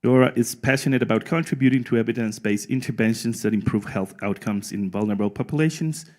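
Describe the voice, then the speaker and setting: Relaxed man chairing a conference panel. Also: fairly low pitch. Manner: relaxed, speaks English as a second language with tag "no?". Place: conference room.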